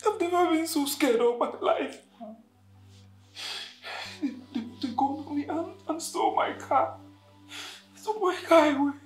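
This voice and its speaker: An actor playing a distraught, hot-tempered husband, with a Nigerian accent and a plaintive, insistent delivery. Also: male voice, high voice, normal speed, faint music behind, close to an everyday voice. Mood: frightened, tearful. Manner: plaintive, repeats words insistently. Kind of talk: emotional film drama acting. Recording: echoey room.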